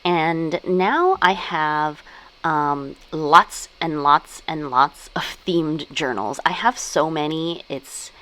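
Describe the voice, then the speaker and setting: Brief: young woman, low voice, relaxed filler-heavy vlog chat about her planners and journals at home. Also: slow pace, rough recording. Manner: chatty, many "like" and "um" fillers. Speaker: stationery vlogger. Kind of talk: casual show-and-tell chat.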